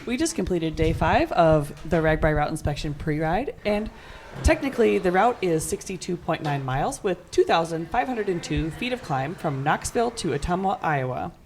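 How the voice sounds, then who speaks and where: A woman's voice: fairly low pitch, very animated, moderate pace, darkish tone, a little hiss or hum. A woman co-hosting a cycling podcast, upbeat and informative in casual chat. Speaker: podcast co-host. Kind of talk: casual chat with scripted intro.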